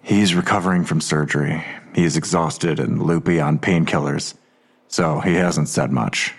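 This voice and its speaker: Deep, husky, weary cop. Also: male voice, a little slow, full character voice. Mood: guarded, serious. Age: middle-aged. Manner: dry, terse, sarcastic. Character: weary, sardonic middle-aged male police officer. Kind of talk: audio drama dialogue.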